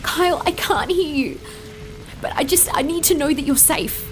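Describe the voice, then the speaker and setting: A distressed young woman in a disaster audio drama. Her voice is fairly high and moves widely with urgency, over light music. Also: expressive, normal speed. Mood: worried. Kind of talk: acted audio drama dialogue.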